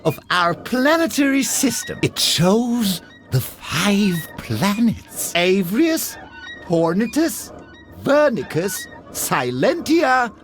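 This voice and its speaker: An actor playing a cranky, pompous old astronomer in a video game, irritable and given to exclaiming. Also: male voice, high-pitched, big pitch swings, slow pace, a bit bright, soft background music, heavily acted. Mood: pompous, lecturing. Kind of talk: video game character dialogue.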